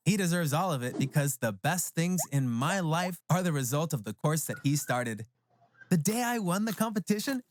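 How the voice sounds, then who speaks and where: A man narrating a scripted animated story as a proud, resentful teenage boy. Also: on the high side, slight background noise, natural voice.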